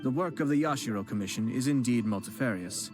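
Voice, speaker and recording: A man voicing a composed young noble clan head who speaks formally and in a measured way, with gentle teasing. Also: medium pitch, animated, natural voice. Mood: courteous calm. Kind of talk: game voice acting. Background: game music.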